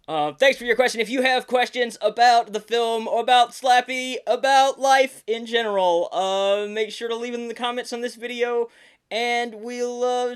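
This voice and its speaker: A young man from Alabama hosting a podcast, telling behind-the-scenes stories in a relaxed, rambling way with many fillers. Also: high-pitched, smooth. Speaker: podcast host, puppeteer. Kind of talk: casual recorded monologue.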